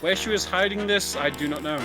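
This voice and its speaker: A man with a fairly high voice commentates casually on a game speedrun. He explains in a halting way, with loud game music behind him. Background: video game music and sound.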